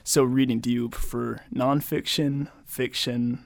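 A man's voice: mid-range voice, flat delivery, unhurried, creaky. A young man hosting a casual podcast, upbeat and enthusiastic as he questions his guests. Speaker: podcast host.

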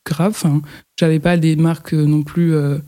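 A woman's voice: sits low, flat delivery, normal speed, mellow. A woman, a fashion model, talking casually in an interview, hesitant and full of fillers and restarts.

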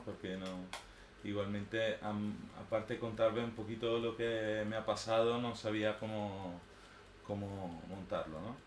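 A man in his thirties with an Italian accent, native to northern Italy, gives an informal, unscripted personal talk. He rambles and hesitates, often checking for agreement as he goes. The room echoes around him, with a faint layer of noise behind. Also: on the low side. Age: 30s. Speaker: artist giving a talk.

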